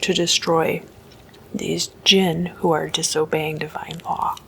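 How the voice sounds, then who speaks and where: Woman whispering asmr, really slow n careful, breathy w some crackly fry, bit of background noise. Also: sits low, flat delivery. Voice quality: mostly unvoiced whisper, breathy with some creak. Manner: slow, hushed, deliberate delivery. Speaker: host of an ASMR series.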